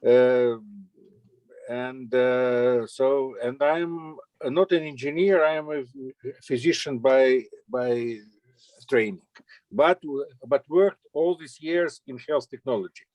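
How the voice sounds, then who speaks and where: A man's voice: mid-range voice, slow, darkish tone, no room sound. A man from Russia, introducing himself modestly in an online meeting. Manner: modest, laughs easily. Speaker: physician. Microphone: video call.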